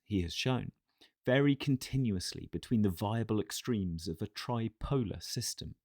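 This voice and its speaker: A man reading a philosophical text as scripted narration, in a formal, measured and deliberate way. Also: mid-range voice, darkish tone, no echo, clean studio sound. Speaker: audiobook narrator.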